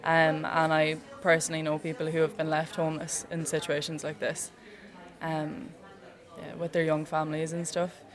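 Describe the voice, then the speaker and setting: A woman's voice: low-pitched, monotone, moderate pace. A young Irish woman, a student, talking earnestly and chattily about living costs. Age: young adult. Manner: earnest, chatty, uses "like" often. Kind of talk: casual interview comments.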